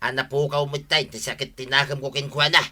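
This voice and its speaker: A man's voice: medium pitch, flat delivery, fast, sharp tone. A man playing an aggrieved, stubborn village complainer in a comedy radio drama, grumbling and exclaiming with an Ilocano accent.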